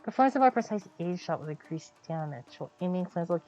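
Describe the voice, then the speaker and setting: A woman's voice: lowish voice, animated, quick pace, warm tone, dull audio, faint music behind. A woman game streamer giving casual live commentary, thinking aloud as she plays.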